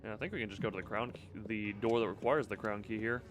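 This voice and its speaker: A man's voice: fairly low pitch, fairly even intonation, quick pace, music in the background. A man giving casual live game commentary, thinking aloud with playful, quirky turns of phrase. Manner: playful, quirky word choices. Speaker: video game streamer.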